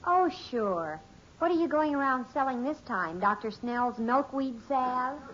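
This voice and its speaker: An actress playing a nosy, teasing, excitable young daughter in a 1950s radio sitcom, often sarcastic. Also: female voice, high-pitched, measured pace, heavily acted. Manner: pestering, sarcastic, exclamatory. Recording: dull, hissy old radio recording.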